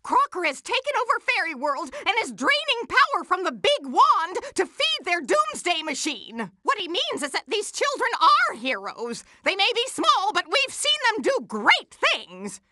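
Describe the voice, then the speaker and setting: A woman voicing a sensible, motherly female cartoon fairy in a video game, earnest and fretful, with an expressive delivery. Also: very high voice, moderate pace, hardly any pauses, no room sound, clean studio sound, exaggerated voice. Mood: earnest, worried.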